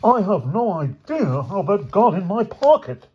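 A man's voice: medium pitch, big pitch swings, moderate pace, a little mellow, slightly rough, lo-fi sound, voice pushed up. A man voicing a prim, self-important rich schoolboy with an affected, aggrieved manner in a children's audiobook. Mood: flustered pleading. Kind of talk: children's novel audiobook character voice.

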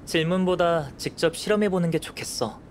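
A man playing a calm, curious young alchemist in an anime game, speaking in a composed, measured, politely detached way. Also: fairly high pitch, a little hiss or hum, natural voice. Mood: analytical, calm. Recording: studio voice over game music.

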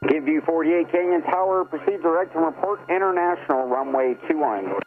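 A man's voice: on the high side, fairly quick, phone-quality sound. A man working as an air traffic tower controller, giving clipped instructions over an aviation radio.

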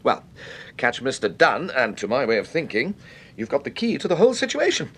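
An actor playing an insistent, indignant but fussily polite British gentleman in a radio drama. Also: medium pitch, huge pitch swings, brisk, breathy edge, exaggerated voice.

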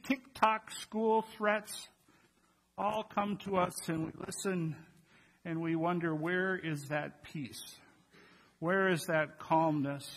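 An older man in his sixties delivers a church sermon in a notably high-pitched voice. His tone is bright and forward. He talks to the congregation conversationally, as a pastor at the pulpit. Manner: conversational, addresses congregation with rhetorical questions. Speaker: pastor preaching. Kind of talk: Christmas Eve sermon. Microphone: gooseneck pulpit microphones.

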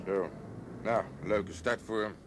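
A sombre, sympathetic policeman in a radio play. His low voice sits against clear vehicle noise. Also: slow. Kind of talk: radio drama acting. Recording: clear traffic noise behind voice.

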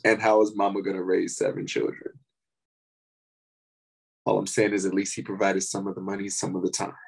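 Casting: male actor as gravely ill man, thirty-five, low register, embittered and tired, stage drama. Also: completely flat delivery, fairly quick, poor audio. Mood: resigned. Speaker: actor at a table reading. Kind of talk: dramatic script reading.

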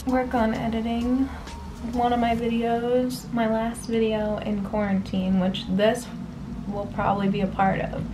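A woman's voice: a little high, low-quality recording. A young woman in her twenties chatting casually to camera from a small apartment room, laughing often as she rambles through her day. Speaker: vlogger. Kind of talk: casual vlog chat. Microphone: camera on a desk, later handheld camera. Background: background music.

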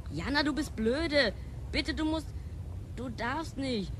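Stubborn kid from the future, fairly high voice, bossy, emphatic, slangy, radio drama, noisy backdrop. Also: female voice, lively intonation, takes it slowly. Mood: stubborn, upset. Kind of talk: German radio play acting. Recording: clear background noise.

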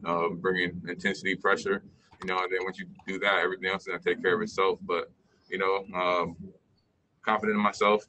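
A young man speaks from an indoor media room over a video call, answering questions in a deep, flat yet bright voice. He halts often, filling gaps with ums and you-knows. A faint hiss sits under the line. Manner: halting, many fillers and you-knows. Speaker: pro basketball player. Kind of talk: post-game press conference answers.

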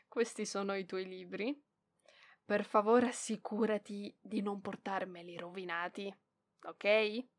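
Woman acting a flustered, slow-talking proud young librarian. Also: mid-range voice. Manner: hesitant stammering, flustered scolding, giggly. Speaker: voice actress in audio roleplay. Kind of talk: ASMR roleplay acting.

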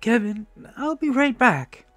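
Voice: medium pitch, big pitch swings, unhurried, a bit bright, dry recording, soft background music, voice pushed up. A narrator voicing a kindly, chatty old grandmother in an audiobook, motherly and emphatic. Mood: reassuring.

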